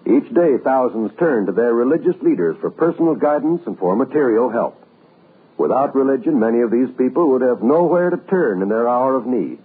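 Husky, formal American radio announcer man. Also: mid-range voice, expressive, a little fast. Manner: formal, solemn, oratorical. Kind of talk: 1940s scripted public-service radio narration. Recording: muffled old radio broadcast.

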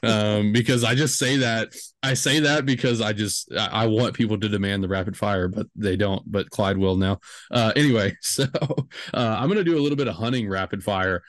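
A man's voice: on the low side, fairly quick, no echo, studio quality. A man in his twenties hosting a podcast, chatting casually and rambling with lots of fillers and jokes. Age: 20s. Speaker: podcast host.

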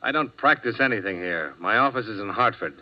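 An actor playing a tough, level-headed insurance investigator in a 1950s radio drama, speaking in a terse, probing, hard-boiled way. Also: male voice, on the low side, animated, fairly quick, lightly acted. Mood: firm, reasoning. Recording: dull, band-limited old 1950s radio recording.

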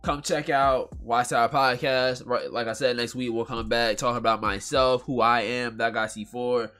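A man with a bright, nasal voice hosts his own podcast at a home mic. He talks in a loose, rambling, slang-filled way, and faint music sits low behind him. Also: steady tone, normal speed, clean tone. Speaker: podcast host. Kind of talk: casual solo podcast talk.

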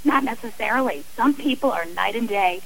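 Woman, interview guest, author, higher-pitched voice, earnest, emphatic, repeats phrases. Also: lively intonation, fairly quick, vocal fry, poor audio. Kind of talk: radio interview.

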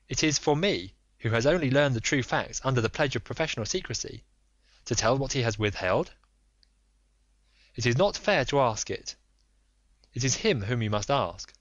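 A man's voice: medium pitch, fairly bright tone, no echo, pitched above the performer's own voice. Guy voicing a reserved victorian detective, formal and guarded, dry muffled audio. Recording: dull lo-fi dry recording.

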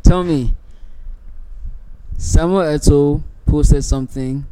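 A man's voice: on the high side, slow, mellow, clear, resonant tone, low-quality recording. A young man hosting a casual podcast chat, speaking informally with slang and agreeable interjections. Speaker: podcast host.

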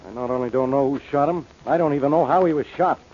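An actor playing an exasperated, suspicious middle-aged police inspector in an old-time radio detective drama, blustering and barking orders. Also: male voice, medium pitch, fast talker, darkish tone, lightly acted. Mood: gruff, suspicious. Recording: muffled, noisy old radio broadcast recording.

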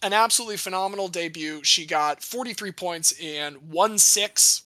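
A young man hosting a casual sports podcast, animated and jokey, swearing now and then. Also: high-pitched, moderate pace, dry recording, low-quality recording. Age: young adult. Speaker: podcast host.

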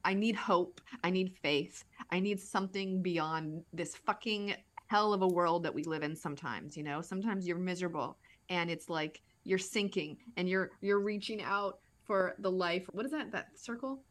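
A woman talking in a spiritual podcast chat, energetic and blunt, swearing casually as she explains her ideas. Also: on the low side, moderate pace, few pauses.

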